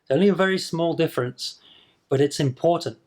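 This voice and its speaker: A man's voice: fairly high pitch, brisk, a little mellow. A man teaching English pronunciation to camera in a patient video lesson, demonstrating sounds and repeating them.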